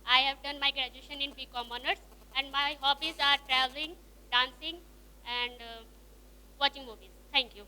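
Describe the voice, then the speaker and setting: A young woman giving a brisk, short self-introduction. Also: high-pitched, steady tone, sharp tone, low-quality recording. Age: young adult.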